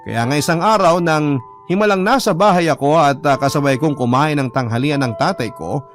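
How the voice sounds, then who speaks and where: A man's voice: a little high, a little fast. A man with a Filipino accent reading a first-person love story as sorrowful, earnest dramatic narration. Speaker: radio drama letter reader. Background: background music.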